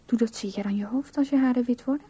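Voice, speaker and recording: Soft, breathy little girl. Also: fairly high pitch, fast talker, no room sound. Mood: dreamy, curious. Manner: naive, childlike, often breathy and hushed. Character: small dreamy chattering girl, the widow's childhood self. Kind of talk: radio drama acting.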